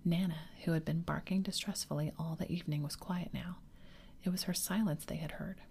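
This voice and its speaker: Woman narrator, whispered ASMR storytelling, very low, gentle pacing. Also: steady tone, vocal fry, slight background noise. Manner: gentle, unhurried. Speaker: reader.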